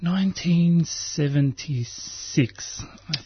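A man's voice: a little high, slow pace, slightly rough, no echo, muffled recording. A man, talking in an easygoing way and laughing often. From Australia. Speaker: guest. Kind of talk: casual conversation.

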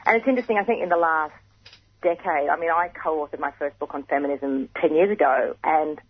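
A woman with a gravelly morning voice, a writer interviewed on radio, thoughtful and repeating words as she thinks. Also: low-pitched, fairly quick, lo-fi sound. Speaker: guest writer.

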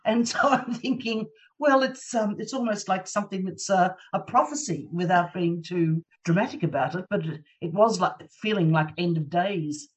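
A woman's voice: on the low side, normal speed. A woman talking as a panel guest in a conversational storytelling way, emphatic and repeating herself. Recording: distant from the microphone.

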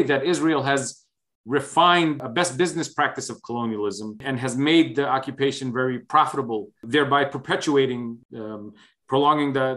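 A middle-aged man from the United States, a guest in an interview, speaking in a hesitant, conversational way with many pauses. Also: mid-range voice, fairly bright tone. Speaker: interview guest, human rights researcher.

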